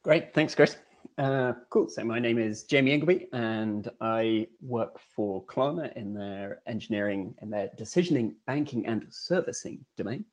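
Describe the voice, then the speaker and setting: A man's voice: medium pitch, normal speed. A man, a podcast guest speaking in a friendly, self-deprecating way. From England. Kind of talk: casual remote conversation.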